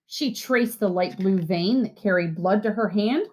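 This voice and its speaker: A woman reading a children's story aloud with deliberate, animated, expressive narration. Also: medium pitch. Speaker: read-aloud reader.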